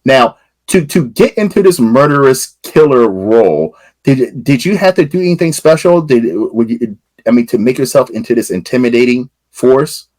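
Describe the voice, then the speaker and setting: A man's voice: mid-range voice, expressive, normal speed, darkish tone, dry recording, rough recording. A man hosting a podcast interview, asking long rambling questions with lots of fillers. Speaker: podcast host.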